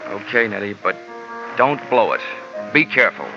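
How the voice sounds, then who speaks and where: An actor playing a wry, cynical insurance investigator in a 1940s radio detective drama, with clipped delivery. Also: male voice, lowish voice, lively intonation, normal speed. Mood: wry, businesslike. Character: wry hard-boiled male insurance investigator, cynical and tough. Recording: muffled old radio recording, music behind.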